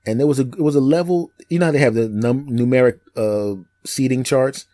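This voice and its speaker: A man in his fifties from Philadelphia, chatting as a comedian guest and telling animated, joking stories. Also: medium pitch. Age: 50s. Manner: animated joking storyteller, quick asides. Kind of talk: casual chat.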